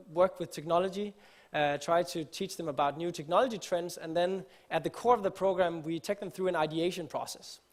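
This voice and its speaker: Man, fairly high voice, earnest stage talk, fillers and restarts. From Denmark. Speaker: conference speaker. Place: conference stage before an audience.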